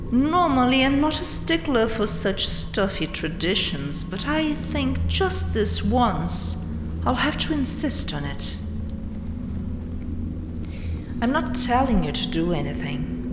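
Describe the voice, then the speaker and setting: A woman playing a haughty, mocking vampire queen in a dramatic audio roleplay, taunting with theatrical mock sweetness and seductive menace. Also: medium pitch. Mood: teasing. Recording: roomy, long hall-like reverb. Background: dark castle ambience music.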